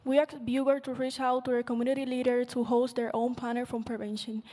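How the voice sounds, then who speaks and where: A woman's voice: a little high, flat delivery, a little fast, mellow. A teenage girl whose first language is Spanish, making an earnest appeal while presenting her team's project. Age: teens. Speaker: student presenter. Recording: long hall reverb.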